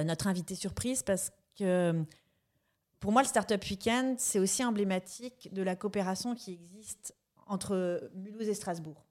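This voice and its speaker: A woman hosting a podcast interview, asking questions in a measured, thoughtful way. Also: mid-range voice, a little mellow. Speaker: podcast host.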